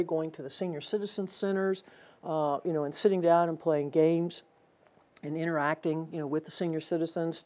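A woman's voice: sits low, warm tone. An older woman, an athletic director, talking earnestly as a guest in a TV studio interview, with many fillers and restarts. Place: TV studio set.

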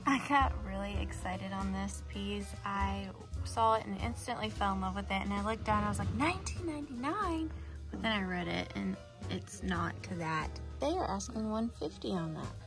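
A woman's voice: medium pitch, normal speed, creaky. A woman, chatting casually and thinking aloud as she films a shop-along on a handheld phone. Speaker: vlogger and antiques reseller. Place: large indoor antique mall. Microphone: handheld phone held near her. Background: loud store music.

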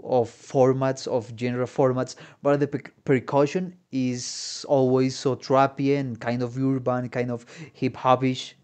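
A man's voice: mid-range voice, a little mellow. A young adult man giving casual reaction commentary as a musician, slangy, sweary and enthusiastic. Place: small indoor room. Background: faint music.

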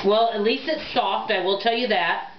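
A woman's voice: medium pitch, moderate pace, a little hiss or hum. Chatty middle-aged woman cooking in an echoey kitchen. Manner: chatty, addresses viewers as "guys" and "y'all", thinks aloud while working. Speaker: cooking vlogger. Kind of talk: casual cook-along chat to camera. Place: home kitchen, indoors. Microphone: camera mic from across the counter. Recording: echoey kitchen, slightly dull home camera audio.

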